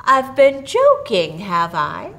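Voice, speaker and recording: A woman's voice: medium pitch, huge pitch swings, takes it slowly, gravelly, a bit bright, exaggerated voice. A woman voicing a jovial, well-to-do father in a storybook, speaking formally and with mock-grand emphasis. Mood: joking. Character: Mister Harrison, grave, jovial well-to-do father.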